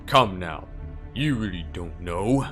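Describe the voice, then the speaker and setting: A man acts out a sinister priest with a low-pitched voice, formal and unhurried in manner. He is politely menacing and smoothly condescending, in the style of a dramatic game dub, with music playing underneath. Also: expressive, a bit bright, full character voice. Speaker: amateur voice impressionist. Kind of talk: dramatic fan dub character acting.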